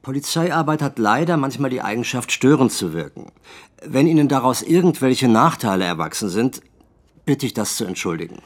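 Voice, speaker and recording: An actor playing an unruffled, mock-polite police official in a radio drama, drily sardonic. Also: male voice, medium pitch, animated, moderate pace, lightly acted. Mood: sardonic calm.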